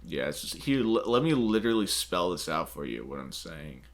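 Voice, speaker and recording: A man's voice: sits low, very animated, moderate pace. A man co-hosting a podcast, chatting casually with a sarcastic, jokey manner. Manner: sarcastic, jokey, laughs along. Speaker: podcast co-host. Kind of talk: casual chat.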